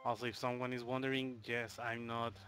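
A man's voice: medium pitch, completely flat delivery, fairly quick, over music. A man from Mexico with a Mexican accent, casually explaining a game speedrun as he plays, with loose fillers. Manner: explains while playing, loose and chatty, with fillers. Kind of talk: live commentary on a speedrun.

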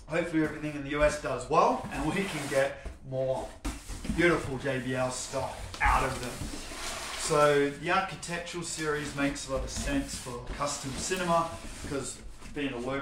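A man's voice: medium pitch, noisy background. A man giving a casual, enthusiastic and explanatory product commentary in an echoey indoor space. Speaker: home cinema showroom presenter. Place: showroom warehouse, indoors. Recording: echoey, reverberant indoor room.

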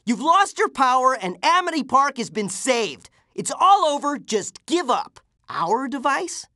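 A man's voice: very high-pitched, very animated, a little slow, sharp tone, no echo, studio quality, exaggerated voice. A man voicing a plucky, wisecracking teenage half-ghost boy superhero with a youthful voice for a video game. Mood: defiant. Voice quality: youthful, boyish. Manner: exclamatory, wisecracking. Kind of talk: video game character voice clips.

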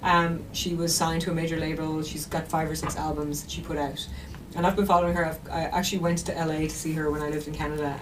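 An Irish woman musician telling stories in an interview with enthusiasm and detail, over a video call. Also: sits low. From Ireland. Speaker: musician guest. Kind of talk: interview conversation. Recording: distant mic with background noise.

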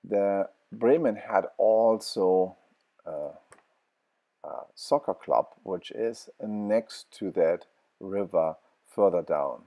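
Slow, deep-voiced man with halting speech. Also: animated, warm tone. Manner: halting, many fillers and restarts. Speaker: travel vlogger. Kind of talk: walking tour narration.

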